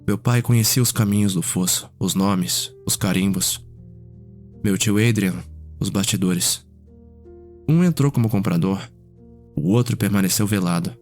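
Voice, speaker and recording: Low-voiced Brazilian man as a solemn vampire storyteller. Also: a little mellow, close to an everyday voice. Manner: measured, solemn, courteous. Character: welcoming, reflective male vampire telling his clan's history. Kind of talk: ASMR roleplay storytelling.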